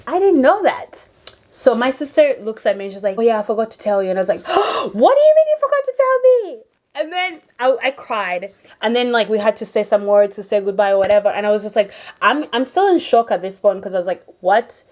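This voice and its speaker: A young woman from Uganda, not a native English speaker, telling a personal story to camera in a casual, animated way with many fillers. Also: medium pitch. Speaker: vlogger.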